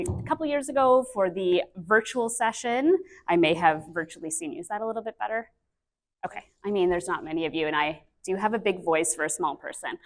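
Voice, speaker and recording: Chatty woman presenter with a big voice. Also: mid-range voice. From Canada. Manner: lively, chatty, self-deprecating, many fillers. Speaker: speech-language pathologist presenter. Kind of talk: conference presentation. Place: conference breakout room. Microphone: handheld or stand mic with feedback.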